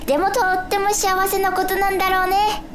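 A woman acting a dreamy, unsure young boy in a radio drama, musing and repeating phrases haltingly. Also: very high-pitched, completely flat delivery, noisy background. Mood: wondering. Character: dreamy young boy Hana, unsure and wondering about life. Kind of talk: theatrical radio drama monologue. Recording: music under the voice.

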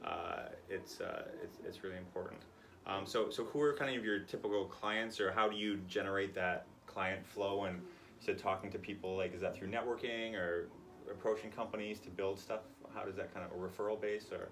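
A man's voice: low-pitched, fairly even intonation, fairly quick, fairly bright tone, slight background noise. A man hosting a podcast and chatting casually with a guest, rambling and full of "like" and "kinda". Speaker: podcast host. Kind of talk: casual interview chat.

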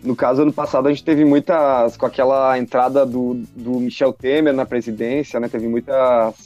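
A man with a mellow, dark voice chats hesitantly on a podcast, repeating words as he goes. His dull, lo-fi remote audio has faint music behind it. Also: medium pitch, flat delivery, moderate pace. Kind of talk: casual podcast discussion.

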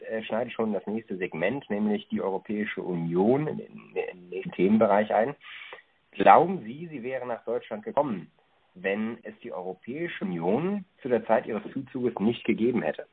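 A man hosting a podcast, formally interviewing a guest and reading out prepared questions in a polite, measured manner. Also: on the low side, big pitch swings, slightly rough, narrow, tinny audio.

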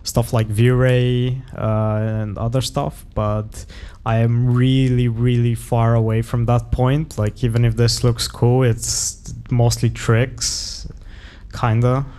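A young man from Bulgaria talks as a guest in a casual interview. His voice is smooth and resonant, and he often hesitates and trails off in a self-effacing way. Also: mid-range voice, flat delivery, takes it slowly, mellow. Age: young adult. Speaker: guest artist.

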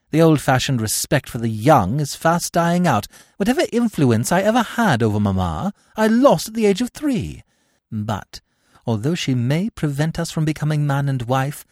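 A grand young society lady, as a male reader puts her on, imperious and gushing. The voice sits high and swoops affectedly, with a dry audiobook sound. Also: a little mellow, dry recording.

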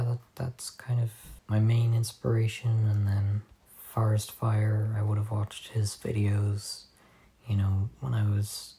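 A hoarse man hosting a casual solo podcast at home, soft-spoken and halting, recorded on a phone voice memo. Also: on the low side. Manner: soft-spoken, halting, drifting off mid-sentence. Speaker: podcast host.

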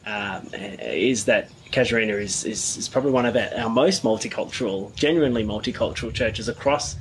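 A man's voice: on the low side, a little fast, a little hiss or hum, low-quality recording. A man interviewing a pastor in a friendly, affirming way, sometimes repeating words as he starts a question. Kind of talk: casual interview.

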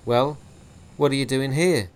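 A man voicing a gruff older male mortician who talks bluntly and curtly. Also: mid-range voice, measured pace, pitched above the performer's own voice, lightly acted. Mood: menacing.

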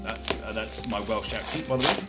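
A man's voice: lowish voice, brisk, loud music behind the voice. A British man casually hosting his own podcast, rambling, joking at himself and swearing freely. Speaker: podcast host. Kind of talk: casual solo podcast chat.

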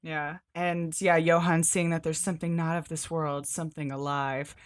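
A woman with a fairly low voice talks as a podcast co-host. Her delivery is informal and keen, with casual swearing, as if chatting about comics with a friend. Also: level tone, normal speed. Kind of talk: casual comic book discussion.

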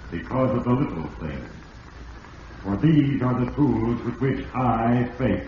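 A man playing Fate, a solemn and implacable radio drama narrator who speaks gravely and deliberately as he passes judgment. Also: mid-range voice, natural voice. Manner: grave, portentous, deliberate delivery. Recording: muffled, echoing old radio recording, distant, hissy.

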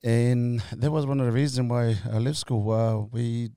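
Halting man, dark mellow voice. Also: mid-range voice, steady tone, clear, resonant tone, no echo, clean studio sound. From Tonga. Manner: halting, many fillers and restarts, laughs easily. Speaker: guest, company co-founder. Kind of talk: casual interview chat.

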